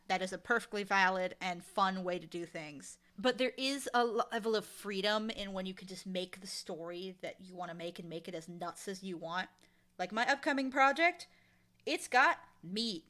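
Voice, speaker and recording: Bright, animated woman chatting as a guest. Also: mid-range voice. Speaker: audio drama showrunner and writer, interview guest. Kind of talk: casual interview chat.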